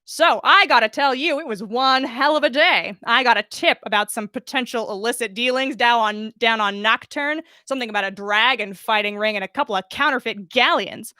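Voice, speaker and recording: Brash female tabloid reporter played by a woman, gossipy, slangy, forceful bright voice, smirking, storybook character. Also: a little high, moderate pace, hardly any pauses, dry recording, clean studio sound. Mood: brash, excited.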